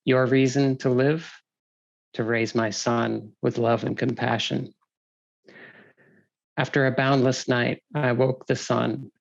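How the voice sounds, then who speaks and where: A man's voice: mid-range voice, creaky. A man who is a poet, speaking and reading his own work at a poetry reading. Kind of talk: poetry reading with brief thanks. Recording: dull, muffled audio.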